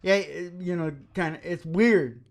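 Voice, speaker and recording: A woman's voice: fairly low pitch, unhurried. A woman musician chatting casually and informally between songs at a rehearsal, rambling with giggly asides. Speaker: musician and show performer. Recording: dull lo-fi rehearsal recording with guitar.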